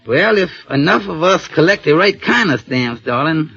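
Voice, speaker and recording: Gentle folksy dad, high gravelly voice, earnest, dull old 1940s radio recording. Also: male voice, expressive, moderate pace, soft background music, heavily acted. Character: patient, gentle, earnest father, working man. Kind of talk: 1940s radio drama acting.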